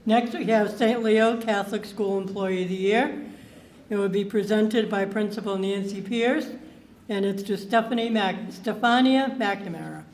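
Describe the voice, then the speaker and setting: A woman announcing the next award at a ceremony, slow and deliberate. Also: mid-range voice, darkish tone, a little hiss or hum. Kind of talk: event announcement. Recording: echoey hall, distant mic.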